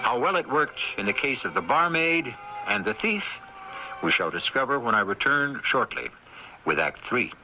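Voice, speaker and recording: A man hosting an old radio mystery series with theatrical, deliberate scripted narration. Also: medium pitch, very animated, vocal fry, rough recording. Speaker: radio drama host. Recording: old radio broadcast recording. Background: music.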